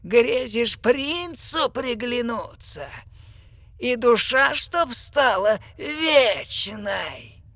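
Slow, sneering sea witch. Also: a little high, lively intonation, no echo, studio quality, exaggerated voice. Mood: mocking, gloating. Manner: sneering, drawn-out, theatrical delivery with bursts of shouting. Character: mocking sinister sea witch, gloating over her victim. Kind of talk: verse fairy-tale audio play acting.

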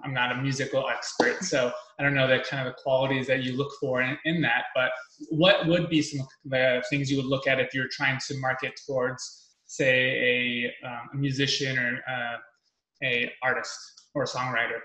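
Fast-talking man in his thirties. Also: mid-range voice, little pitch movement, pushed and bright, reverberant, rough recording. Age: 30s. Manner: fast, rambling, with many fillers and restarts. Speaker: podcast host. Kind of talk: casual interview.

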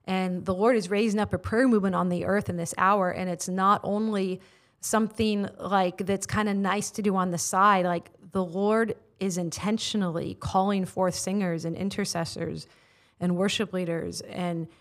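A woman's voice: medium pitch, steady tone, normal speed. An American woman in her forties, a guest on a podcast, telling her faith story earnestly with many filler words. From United States. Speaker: guest, prayer ministry leader. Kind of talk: personal testimony in interview. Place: studio.

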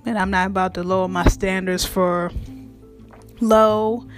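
A woman with a raspy voice and speech, hosting her own show in a blunt, frank monologue that swears freely. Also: mid-range voice, fairly even intonation, a little slow, music in the background. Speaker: podcast host. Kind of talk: casual monologue.

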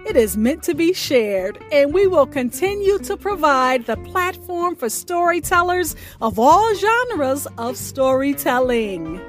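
A woman radio host delivers a scripted welcome with deliberate, theatrical phrasing. Her high voice swoops up and down and takes its time over a music bed. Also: big pitch swings. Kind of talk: scripted show introduction. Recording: music behind the voice.

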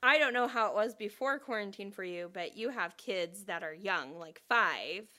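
A woman in her thirties co-hosts a podcast, chatting casually at an ordinary middle pitch. She sounds thoughtful and talks in a friendly, easygoing way that breaks into laughs often. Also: expressive, moderate pace, pushed and bright. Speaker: podcast co-host.

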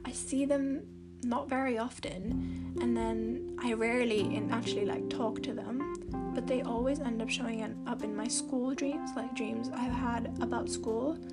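A teenage girl with a soft, youthful, baby-like voice, hosting a podcast at home in a chatty, meandering way with lots of "like" and restarts. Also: a little high, fairly even intonation, measured pace. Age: teens. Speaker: podcast host. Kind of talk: casual rambling podcast monologue. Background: loud guitar music.

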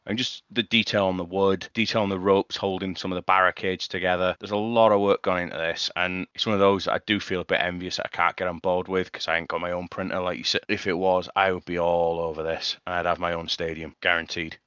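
Deep-voiced man chatting on a podcast. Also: flat delivery, fast talker, few pauses, fairly bright tone, smooth, no echo, muffled recording. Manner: chatty, with frequent restarts and repeated words. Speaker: podcast co-host. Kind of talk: casual chat.

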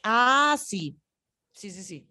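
A woman's voice: mid-range voice, very animated, extremely slow, sharp tone. Colombian woman chatting casually. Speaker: podcast co-host. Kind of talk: casual chat review.

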